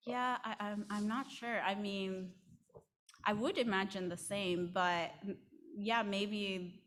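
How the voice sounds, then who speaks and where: A woman's voice: medium pitch, steady tone, slow pace, a bit bright, a little hiss or hum. Hesitant woman answering questions. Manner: hesitant, many fillers and backchannels. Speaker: conference presenter. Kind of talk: answering questions after a talk.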